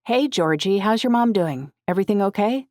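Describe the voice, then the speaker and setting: Audiobook heroine voiced by a narrator: earnest young woman, candid and conversational, pitched up, dry sound. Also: lively intonation, fairly quick, a little mellow, dry recording, close to an everyday voice. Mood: friendly.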